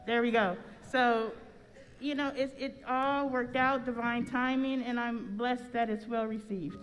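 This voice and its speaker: A woman's voice: a little high, steady tone, unhurried. A middle-aged American woman speaking casually and anecdotally to a congregation, as an artist telling her story. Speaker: artist and church member. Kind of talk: informal testimony to a congregation. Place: large church sanctuary. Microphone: stand microphone. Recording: long hall reverb, faint music.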